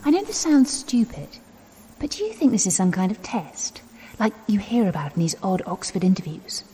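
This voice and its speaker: A woman's voice: mid-range voice, big pitch swings, moderate pace, warm tone, a little hiss or hum, close to an everyday voice. An actress playing a nervous but plucky young English lady in 1920s radio theatre, polite and hesitant with dry understatement. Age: young adult. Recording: long echoing reverb, music underneath.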